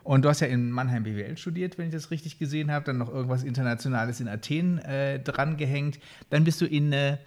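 A man's voice: medium pitch, fast, few pauses, darkish tone. A man co-hosting a podcast, asking informal questions with hesitant fillers. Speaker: podcast co-host.

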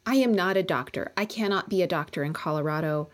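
A woman's voice: fairly low pitch, fast. A woman acupuncturist who practices in Colorado, a guest speaking earnestly in long run-on stretches in a casual professional conversation.

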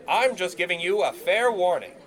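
Guy doing cocky young 1920s radio announcer, high voice, really swoopy, full of himself, audio drama. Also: very animated, normal speed, fairly bright tone, poor audio. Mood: grandiose. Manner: pompous, florid, emphatic. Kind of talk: period audio drama acting.

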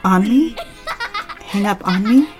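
A woman's voice: mid-range voice, expressive, slow pace. Lady acting as heartbroken-type woman telling her own story, fragile n confessional, audio drama, music behind her. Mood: pleading. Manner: confessional, earnest, emotive. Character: Andrea, lovelorn, self-doubting, emotionally fragile woman. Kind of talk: dramatic first-person narration in audio drama. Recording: music under the voice.

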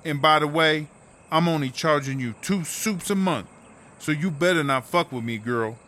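A man's voice: on the high side, normal speed, bright, forceful tone. A man playing a sassy, brash, foul-mouthed woman inmate in a comedy audio drama, slangy and emphatic. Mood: bossy.

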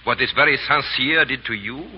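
An actor playing a coolly menacing French agent and blackmailer in 1930s radio drama, insinuating, deliberate and commanding. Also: male voice, medium pitch, close to an everyday voice. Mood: silky menace. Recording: dull, noisy 1930s radio recording.

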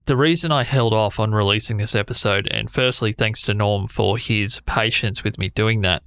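A man's voice: lowish voice, normal speed, a bit bright, clear, resonant tone, dry recording, clean studio sound. A man hosting a podcast, chatting enthusiastically and laughing easily as he introduces his guest. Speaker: podcast host. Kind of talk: casual podcast intro and interview.

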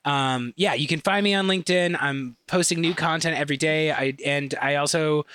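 A man with a Chicago accent, a podcast guest on a video call, chatting eagerly in quick bursts with fillers. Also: fairly high pitch, sharp tone, clear, resonant tone, dry recording. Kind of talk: casual conversation.

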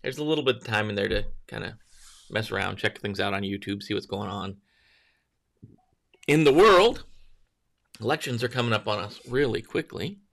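Gravelly-voiced man hosting a morning stream. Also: fairly low pitch, expressive, normal speed, long pauses, a bit bright. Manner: rambling, greets viewers by name, self-correcting. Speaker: livestream host. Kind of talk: casual morning livestream chat.

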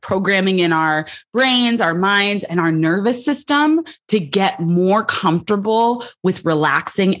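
A woman guest on a podcast interview, answering thoughtfully and calmly with the occasional laugh. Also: on the low side. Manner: thoughtful, calm, laughs easily. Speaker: psychologist guest.

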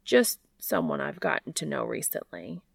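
A shy and awkward recluse of a man, read by a woman for an audiobook, deadpan and hesitant. The voice is low with very heavy creak, recorded dry. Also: moderate pace, no echo, exaggerated voice. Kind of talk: audiobook character dialogue.

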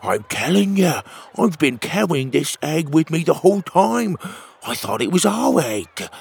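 Storybook platypus dad, acted by a male narrator: eager, affectionate, high and swooping, husky edge. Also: sing-song, measured pace, full character voice. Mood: baffled. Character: excitable platypus father, male, warm and playful.

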